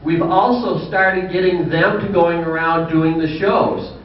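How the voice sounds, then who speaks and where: An older man in his sixties, with a fairly high voice for a man, tells unhurried, folksy stories with dry humor at a banquet. He is heard from a distance through a muffled hall mic, with a strong echo in the room. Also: fairly even intonation. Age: 60s. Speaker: business owner giving a banquet speech. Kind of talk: anecdotal after-dinner speech.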